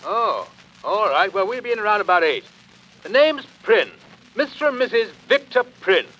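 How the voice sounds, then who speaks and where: A man's voice: high-pitched, big pitch swings, normal speed, sharp tone, muffled recording, heavily acted. An actor playing a big, hearty, blustering English sportsman who stammers in a radio play. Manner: stammering, bluff.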